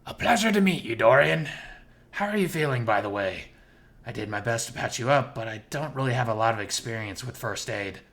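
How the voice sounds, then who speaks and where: A man's voice: mid-range voice, lively intonation, fairly quick, a bit bright. A man voicing an upbeat, innocent and awkward young male bat in a visual novel, hesitant and earnest in manner. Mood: friendly, apologetic.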